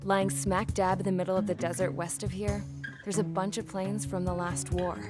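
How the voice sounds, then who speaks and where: An actress plays a gutsy young woman pilot telling her own story in a video game. She is folksy, salty and reflective, with a mid-pitched voice over prominent music. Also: little pitch movement, moderate pace, close to an everyday voice. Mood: wistful, matter-of-fact. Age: young adult. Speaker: narrator and pilot character. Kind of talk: scripted first-person game narration.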